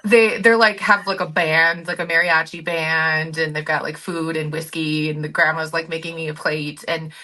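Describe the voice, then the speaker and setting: Bright, forceful American woman swapping stories. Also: deep voice, little pitch movement, normal speed, few pauses, poor audio. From United States. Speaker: guest, group travel company founder. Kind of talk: casual conversational storytelling.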